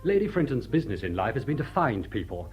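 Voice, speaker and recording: An actor playing a suave, unflappable butler in a radio drama, formal and dry, with an upper-class English accent. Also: male voice, mid-range voice, quick pace, soft background music, close to an everyday voice. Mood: dry, gossipy. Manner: formal, dry, deadpan wit. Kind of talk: British radio drama acting.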